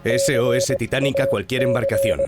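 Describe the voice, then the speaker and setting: An actor playing an urgent ship's radio operator sending distress calls in formal, measured phrases for a radio drama. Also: male voice, mid-range voice, fairly even intonation, very noisy, lightly acted. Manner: formulaic, spelled-out transmission. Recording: loud music, radio signal sounds.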